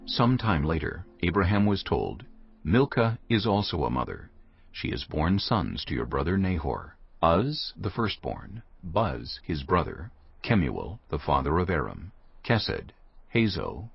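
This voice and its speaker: A man narrating a dramatized Bible as a scripted story, solemn and clearly phrased. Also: low voice, no room sound, highs cut off.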